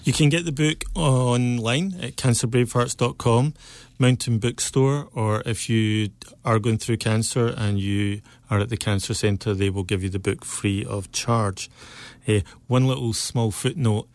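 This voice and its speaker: A middle-aged man with a Scottish accent, speaking as an interview guest in an earnest, enthusiastic and measured way. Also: mid-range voice, a little mellow, no room sound. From Scotland. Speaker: guest, cancer survivor and author. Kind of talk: local interview.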